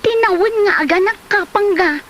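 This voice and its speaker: Woman acting a fretful, high-voiced Ilocano mother. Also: normal speed, a bit bright. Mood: fretful scolding. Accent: Ilocano Filipino. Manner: fussing, exclaiming, nagging. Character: fretful, scolding mother of a grown pregnant daughter. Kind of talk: Ilocano comedy radio-style drama acting.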